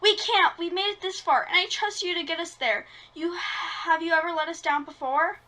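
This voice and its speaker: A woman acts out an enthusiastic teenage girl in an amateur script read. The voice is extremely high and bright. She speaks in a perky, earnest way, as a sweet girl keen on adventure. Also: moderate pace.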